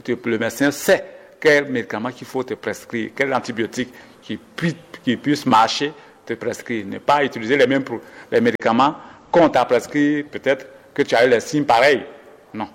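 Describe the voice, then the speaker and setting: A male doctor giving health advice in an interview, hesitant and full of fillers and self-corrections. His voice sits mid-range in pitch. The sound trails off in a long reverb. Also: normal speed. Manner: hesitant, many fillers and restarts, advising listeners.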